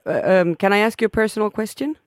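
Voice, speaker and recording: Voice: medium pitch, quick pace, no echo, studio quality. An interviewer asking questions hesitantly, often restarting mid-sentence.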